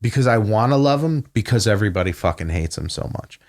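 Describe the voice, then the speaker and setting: Give me a deep-ish man venting profanely in a casual podcast chat. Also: expressive, fast, darkish tone. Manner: profane, ranting. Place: home podcast studio.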